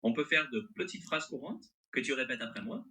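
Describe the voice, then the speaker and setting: Bright, animated male AI assistant voice. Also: medium pitch, brisk. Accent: mixed French with American-sounding intonation. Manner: agreeable, accommodating. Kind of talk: conversational assistant replies.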